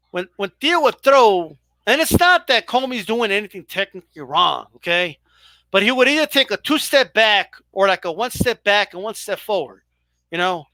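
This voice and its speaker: High, bright-voiced man on a stream. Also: no echo. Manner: profane, chatty, banters with viewers. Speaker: boxing live-stream host. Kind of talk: casual live commentary to chat.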